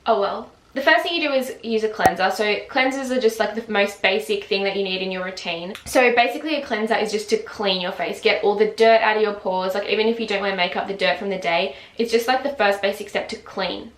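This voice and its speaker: A woman's voice: medium pitch, fairly quick, hardly any pauses. A woman of twenty chatting casually to camera about her routine at home, using lots of filler words. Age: 20s. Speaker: beauty vlogger. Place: home, just woken up. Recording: roomy echo.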